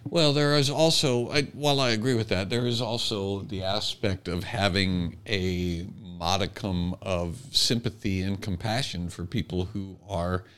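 A man's voice: sits low, steady tone, measured pace, hardly any pauses, a bit bright, smooth. A man co-hosting a podcast, telling things casually and tentatively, often correcting himself. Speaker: podcast co-host. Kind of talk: casual conversational storytelling.

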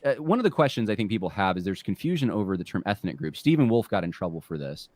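A man giving measured, steady spoken commentary in a played clip. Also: fairly low pitch, dry recording.

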